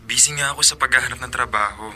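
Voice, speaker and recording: A man's voice: mid-range voice, fast talker, sharp tone, slightly rough. An actor playing a young man who keeps apologizing and making promises to his girlfriend. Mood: apologetic. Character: young man, absent boyfriend looking for work. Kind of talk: radio drama acting.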